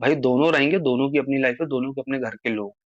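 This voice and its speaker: A man's voice: mid-range voice, fast talker, no echo. A man in a casual phone conversation, talking at length as he explains himself and appeals to the listener. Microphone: phone call. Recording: muffled lo-fi recorded phone call.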